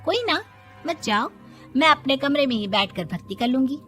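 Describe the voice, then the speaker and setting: Lady doing a young bahu in a moral story, high pitched, preachy n cheeky, faint music behind. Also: expressive, moderate pace, fairly bright tone. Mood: unbothered. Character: pious, chirpy, oblivious young Indian daughter-in-law.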